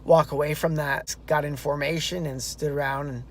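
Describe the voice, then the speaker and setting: A man talking straight to his handheld camera, recapping a race in a loose, rambling way. His voice sits a little high, and he keeps restarting sentences and tossing in fillers as he goes. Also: flat delivery, normal speed. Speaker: runner vlogging a marathon. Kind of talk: casual vlog recap. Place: outdoors and in a car.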